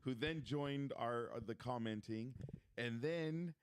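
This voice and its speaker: Loud joking male podcast host. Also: mid-range voice, takes it slowly, a little hiss or hum. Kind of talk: casual chat.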